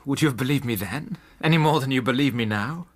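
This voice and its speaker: An actor playing an earnest, haunted country doctor in a radio drama, with a grave, halting delivery. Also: male voice, medium pitch, no room sound, natural voice. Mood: defensive. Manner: grave, halting, hushed for effect.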